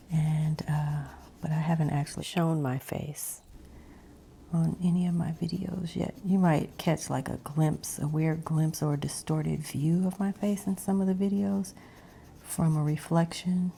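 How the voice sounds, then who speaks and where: A woman ASMR creator rambling in a breathy whisper mixed with soft, hushed speech, meandering as she goes. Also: low voice, a little hiss or hum.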